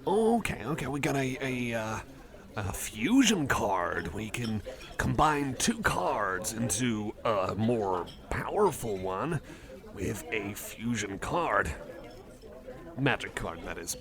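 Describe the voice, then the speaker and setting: A man playing a friendly, nerdy cyborg guy with a lowish voice, chatting casually in an ASMR roleplay. He rambles, hesitates and corrects himself, mildly keen on his hobby. Busy cafe chatter runs clearly behind him. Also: big pitch swings, takes it slowly. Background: cafe crowd ambience.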